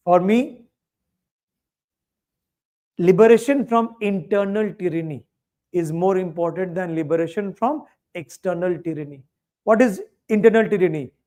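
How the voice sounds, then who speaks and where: A man's voice: high-pitched, mellow. A man with an Indian English accent teaching a coaching class, posing questions to students and answering them himself. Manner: emphatic, asks rhetorical questions and answers them.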